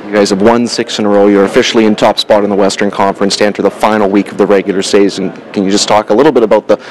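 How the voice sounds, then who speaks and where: A man works as a locker room reporter and fires off polished post-game questions at a quick clip. His voice sits a little low. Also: level tone, darkish tone, rough recording.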